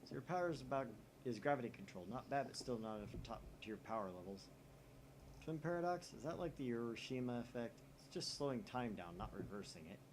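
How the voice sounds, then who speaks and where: A man hosts a let's play, reading a visual novel aloud. He jumps between voices for each chat poster and swears casually. His voice sits at a middle pitch. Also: expressive, fast talker, a little hiss or hum, poor audio.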